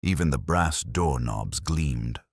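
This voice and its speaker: A man reading a scripted mystery story as narrator, in a measured, formal, literary way. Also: rumbling low, animated, breathy edge, dry recording, clean studio sound.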